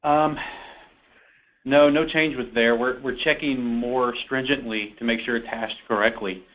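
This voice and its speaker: A man's voice: mid-range voice, steady tone, a little slow, fairly bright tone, poor audio. A man on a conference call, giving an unscripted technical explanation as a staff expert. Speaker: technical staffer answering a question. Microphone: telephone conference call.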